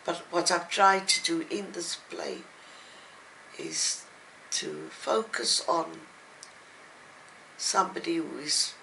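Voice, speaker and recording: An older woman speaking as an interviewed writer, reflective and halting, with thoughtful pauses. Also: deep voice, gravelly, sharp tone, a little hiss or hum, low-quality recording. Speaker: novelist and playwright being interviewed. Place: at home, indoors.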